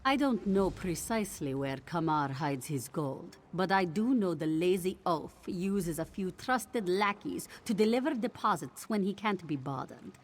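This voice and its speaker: A woman's voice: on the low side, animated, unhurried, few pauses. A woman voicing a defiant, scheming ship captain in a video game, with a sardonic, colourful manner. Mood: sly, amused. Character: wry, scheming female ship captain, hard-drinking and defiant. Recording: noisy ambient background.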